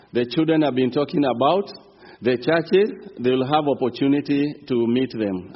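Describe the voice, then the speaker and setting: A man's voice: medium pitch, breathy edge. A man from Kenya with a Kenyan accent, preaching as a visiting pastor in earnest, building fervor. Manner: earnest preaching, rising fervor, some halting restarts. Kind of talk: sermon. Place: church sanctuary. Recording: reverberant church hall, dull sound.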